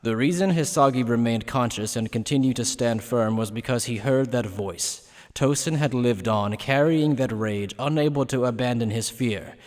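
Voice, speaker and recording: A man's voice: medium pitch, normal speed, darkish tone. A man reading scripted, dramatic third-person narration for an anime fan dub. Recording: reverberant, slightly noisy recording.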